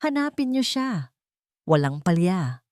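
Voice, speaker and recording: A woman's voice: fairly low pitch, sing-song, slow pace, darkish tone, dry recording, clean studio sound. A woman voicing an angry, determined rich CEO son with a cold, menacing manner in an audio story. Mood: grim resolve.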